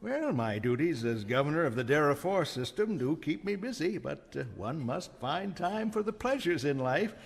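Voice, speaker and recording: An actor playing a pompous, indulgent older imperial governor in an audio drama, avuncular and blustering in manner. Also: male voice, a little high, very animated, moderate pace, vocal fry, few pauses, slightly rough, big-room sound, heavily acted. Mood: hearty, indulgent.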